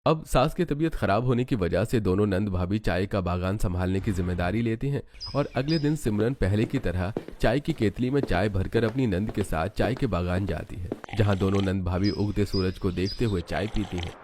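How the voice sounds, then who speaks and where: Male narrator for scripted animated tales, lower register, slight background noise. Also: moderate pace, few pauses. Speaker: story narrator. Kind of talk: scripted cartoon story narration.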